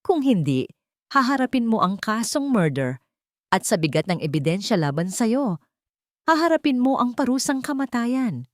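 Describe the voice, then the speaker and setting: A woman voicing a cold, pitiless male lawyer in a narrated drama, speaking formally and deliberately. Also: a little high, big pitch swings, no echo, studio quality, voice pushed up. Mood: cold, threatening. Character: cold, calculating male lawyer of a rich family.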